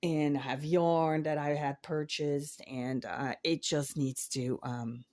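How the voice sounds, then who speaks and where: A woman's voice: low voice, fairly even intonation, takes it slowly, a little mellow. A woman in her forties from Switzerland, chatting casually to camera in her home office, rambling and repeating words as she trails off. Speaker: online reseller and vlogger.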